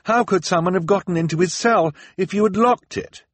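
A man's voice: high voice, a little fast, no room sound, voice pushed up. A man voicing an earnest, questioning young girl in a storybook, insistent and urgent. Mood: urgent, upset.

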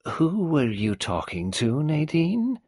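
A man's voice: a little high, huge pitch swings, takes it slowly, a little mellow, husky, no room sound, studio quality, pitched above the performer's own voice, exaggerated voice. A man doing a malicious wheezing old matriarch. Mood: taunting. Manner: venomous, taunting, wheezing. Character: malicious old domineering mother, woman.

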